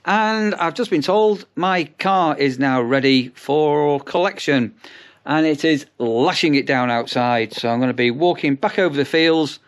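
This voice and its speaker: A man's voice: on the high side, a little slow, smooth. A man with an English accent talking casually in a rambling way, as if narrating his day for a vlog. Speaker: vlogger. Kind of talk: casual vlog chat to camera.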